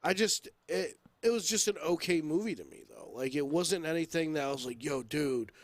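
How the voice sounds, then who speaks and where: A man's voice: a little high, animated, moderate pace. A man co-hosting a podcast, giving blunt opinions in casual, slangy talk full of "like". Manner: slangy, blunt, heavy use of "like". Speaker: podcast co-host. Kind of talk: casual opinionated film chat.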